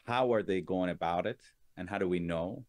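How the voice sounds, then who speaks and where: A man moderating a webinar panel, introducing speakers in a measured way with occasional fillers. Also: deep voice, a little mellow, dry recording, studio quality. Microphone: video call.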